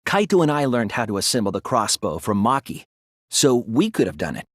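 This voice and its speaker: Young student detective, earnest, analytical, slightly breathy husky tone, expressive swings in pitch, deliberate explaining, video game dialogue. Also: male voice, no room sound, studio quality. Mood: measured reasoning. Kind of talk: scripted video game voice acting, deduction speeches.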